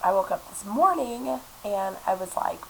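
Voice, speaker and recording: Young woman vlogger, sick and stuffed up, gravelly voice, chatty, rambling, filler-heavy, recorded on a laptop webcam mic with faint hiss. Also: mid-range voice, expressive, normal speed, a bit bright, poor audio. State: sick, congested with a cough. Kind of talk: casual chat to camera. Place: small apartment room indoors.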